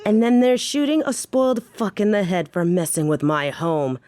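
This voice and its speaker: A woman's voice: mid-range voice, big pitch swings, normal speed, a little mellow, lightly acted. A woman playing a tough, protective mafia boss in an audio drama, formal and menacing in manner. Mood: angry, defiant.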